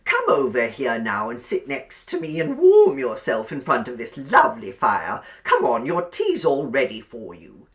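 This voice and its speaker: A man voicing a sweet, eerily doting middle-aged landlady in an audiobook, fussy and gushing, with drawn-out emphasis. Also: medium pitch, huge pitch swings, a bit bright. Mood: gently insistent. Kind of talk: audiobook character acting.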